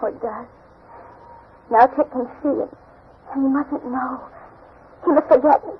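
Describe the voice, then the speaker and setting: A woman's voice: high voice, fairly even intonation, unhurried. A wistful young woman in love, earnest and self-sacrificing. The delivery is emotional 1940s radio melodrama, heard through a narrow, noisy old recording. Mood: anguished. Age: young adult. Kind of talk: 1940s radio drama acting.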